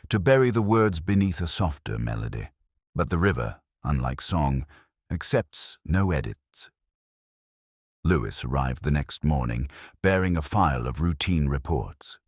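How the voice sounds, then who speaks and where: A man reading a scripted first-person detective story in a measured, literary, melancholy manner, with a gravelly, husky voice. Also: rumbling low, animated, no room sound, studio quality. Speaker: narrator.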